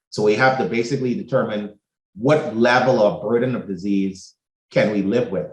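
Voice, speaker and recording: A man's voice: fairly low pitch, a bit bright, echoey room, poor audio. A man from the Bahamas, a doctor, giving a prepared, methodical talk that lists points in order.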